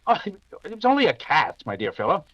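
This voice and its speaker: A man's voice: a little high, wildly swooping pitch, brisk, lo-fi sound, very noisy. A man playing a composed, dismissive, wry detective in an old-time radio mystery, urbane in manner. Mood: unruffled, genial.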